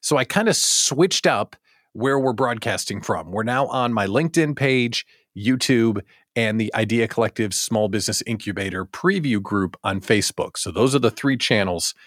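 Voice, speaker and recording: A man's voice: fairly low pitch, moderate pace, no room sound, clean studio sound. A man hosting a daily live online show in an upbeat, chatty style, talking straight to listeners with theatrical announcer-like emphasis and rhetorical questions. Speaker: host of a daily live small business show.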